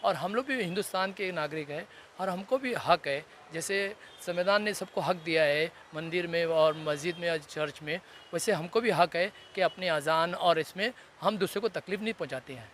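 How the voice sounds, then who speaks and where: A man with a fairly high voice makes an earnest statement as a member of the community. Faint urban noise is audible behind him. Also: normal speed, hardly any pauses, a bit bright.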